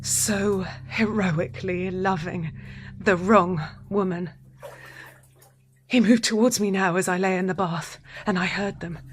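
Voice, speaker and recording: An actress playing a haunted, unhinged wife in a dramatic audio drama monologue, deliberate and intense, repeating phrases. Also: female voice, medium pitch, a little hiss or hum, lightly acted. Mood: intense, fearful. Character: haunted, unhinged wife narrating a violent confession.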